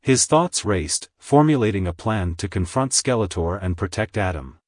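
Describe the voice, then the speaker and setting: Man, lowish pitch, scripted story narration, dry close recording, storyteller. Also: expressive, normal speed, a little mellow, no room sound.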